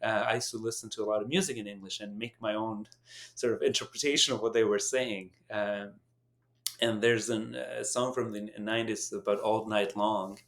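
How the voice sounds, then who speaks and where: A man's voice: lowish voice, fairly even intonation, normal speed, a bit bright. A middle-aged man whose first language is Albanian, animatedly telling stories in a casual podcast chat with restarts and chuckles. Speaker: podcast host and company founder.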